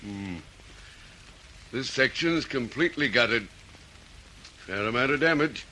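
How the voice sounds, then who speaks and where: A man's voice: mid-range voice, animated, takes it slowly, bright, forceful tone, rough recording. A man playing a laconic, inquisitive senior detective in an old radio drama, musing and asking probing questions. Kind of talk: 1940s radio drama acting. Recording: vintage radio recording, faint noise.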